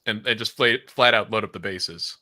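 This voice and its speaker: Very bright, forceful male co-commentator. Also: lowish voice, moderate pace. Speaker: colour commentator. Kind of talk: casual sports commentary.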